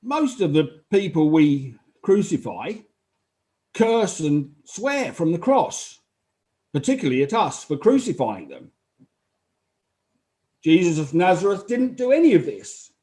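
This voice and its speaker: A man's voice: a little high, sing-song, measured pace, pauses often, mellow. A man acting as a matter-of-fact Roman army officer who gives unemotional, explanatory testimony in a dramatized inquiry. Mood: explanatory, detached.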